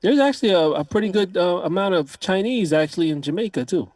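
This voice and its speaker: A man's voice: high voice, lively intonation, fast talker, darkish tone, no room sound. A man hosting a casual podcast, talking in an easygoing, slangy way and hyping up his guest. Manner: easygoing hype talk, calls people dog. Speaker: podcast host. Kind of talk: casual chat.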